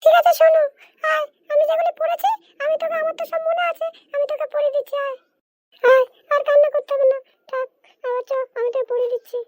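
A woman voicing a diligent, caring schoolgirl big sister in an amateur cartoon, pitched up and earnestly insistent. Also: flat delivery, quick pace, darkish tone, no room sound, clean studio sound.

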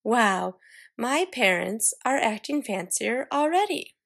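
Woman as little girl, excitable, fancy-loving, high-pitched, exclaiming, storybook narration. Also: slow, vocal fry, exaggerated voice. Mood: proud, eager. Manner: dramatic, exclaiming, storybook delivery.

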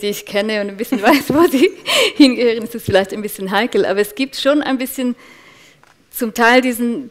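A woman in her thirties with a Swiss accent, answering on a panel in a thoughtful way, with many restarts. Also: mid-range voice. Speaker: panel guest, culture officer. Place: event room with large windows.

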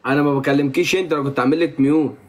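A man's voice: fairly high pitch, steady tone, rapid-fire. A man with an Egyptian Arabic accent hosting a live stream, teasing and bantering with a guest in a playful, mock-stern way.

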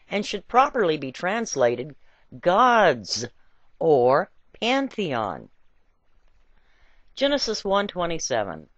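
A woman's voice: lowish voice, no echo. A woman reading a satirical essay aloud in a playful, theatrical storytelling manner, on a lo-fi recording. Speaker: reader of a written essay. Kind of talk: expressive scripted narration of a satirical essay. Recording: dull, lo-fi home recording.

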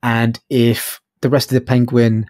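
A man chats as co-host of a review podcast. He has a medium pitch and an informal, appreciative way of talking in loose phrases. There is hardly any room sound around him. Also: flat delivery, a little slow, darkish tone, no echo, studio quality. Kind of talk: casual book review discussion.

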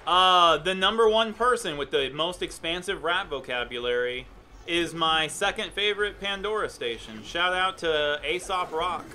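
A young man giving relaxed, chatty commentary on his own game stream, reading chat aloud and sounding a bit phlegmy. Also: a little high, moderate pace, slight background noise. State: phlegmy throat. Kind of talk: casual gameplay commentary. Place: indoor room at a desk. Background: music playing.

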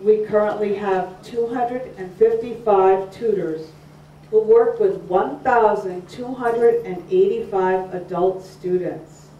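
An older woman delivering a formal, scripted speech in a measured way. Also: mid-range voice, warm tone. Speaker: program speaker. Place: community room, at a podium. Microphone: podium mic, far camera. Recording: dull, echoey room from far off.

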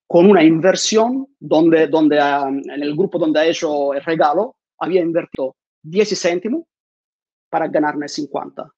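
A high-voiced man, often restarting and repeating words as he gives a talk from home. His lapel mic sounds dry and close. The video call is muffled and lo-fi. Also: a little slow, a little mellow, no echo. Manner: many fillers and repeated words. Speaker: conference speaker. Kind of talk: online conference talk. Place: home room with bookshelves.

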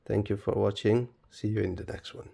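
A man presenting a step-by-step tutorial in a plain, halting, instructional manner. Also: fairly low pitch, fairly even intonation, creaky, warm tone, husky. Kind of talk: step-by-step spoken tutorial, unscripted.

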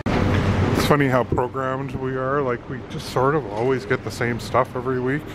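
A middle-aged man talks casually to a handheld camera in a big warehouse store. His voice sits at an ordinary pitch, with very loud ambient noise all around it. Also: flat delivery, moderate pace. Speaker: vlogger. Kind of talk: casual shopping vlog chat. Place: large indoor warehouse store. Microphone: handheld camera microphone.

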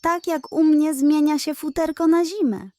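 A woman voicing a friendly young male hare in a children's bedtime story, animated, teasing but caring. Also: sits high, normal speed, dry recording, voice pushed up, natural voice. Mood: amused, teasing.

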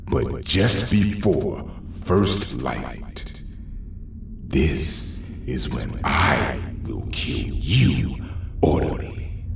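An actor playing a menacing, power-hungry monster in an audio horror drama, speaking slowly and pompously. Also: male voice, very deep voice, vocal fry, full character voice. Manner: slow, pompous, grandiose. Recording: echoey, distant, faint noise.

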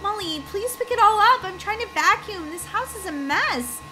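A woman's voice: very high voice, animated, normal speed. A woman voicing a firm, exasperated mother who chides her children in a kids' game roleplay.

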